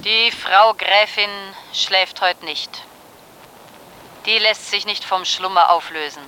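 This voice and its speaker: Very slow, bright female reciter. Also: mid-range voice, a little hiss or hum, rough recording. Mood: solemn, deliberate. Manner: measured, declamatory. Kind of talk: radio drama recitation.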